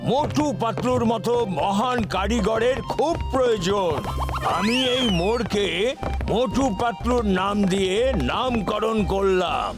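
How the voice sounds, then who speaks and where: High-voiced, grandiose cartoon official. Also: male voice, a little slow, over music. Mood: delighted, grand. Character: self-important male government dignitary. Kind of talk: cartoon dub acting.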